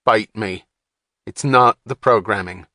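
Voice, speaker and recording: A man's voice: on the low side, slow, pushed and bright, no room sound, clean studio sound. A man voicing an irritable, profane male computer technician who snaps at everyone. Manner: snapping, abrasive, exasperated.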